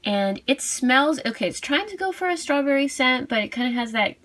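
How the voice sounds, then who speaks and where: A woman giving a casual unboxing review as a video host, cooing softly over cute things. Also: high-pitched, expressive, brisk, no room sound. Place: indoors at a tabletop.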